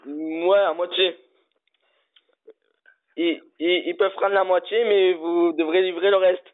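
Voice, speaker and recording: Man, prank caller, stubborn and halting, high voice, tinny phone sound. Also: steady tone. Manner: stubborn, halting, absurd demands. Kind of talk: phone prank, playing a pushy customer.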